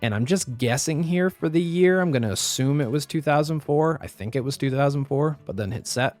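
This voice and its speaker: A man with a slightly raspy voice, recovering from the flu, giving a step-by-step software tutorial as a voice-over. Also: a little high, brisk, no echo, soft background music. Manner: step-by-step instructive, plain and practical. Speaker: tutorial host. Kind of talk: screen-recorded software tutorial.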